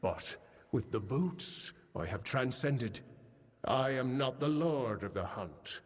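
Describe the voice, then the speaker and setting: A man playing a cursed, tormented and bloodthirsty fey lord of the hunt in a fantasy game, with a halting, menacing delivery. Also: mid-range voice, lively intonation. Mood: brooding, proud. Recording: long cavernous reverb, music behind.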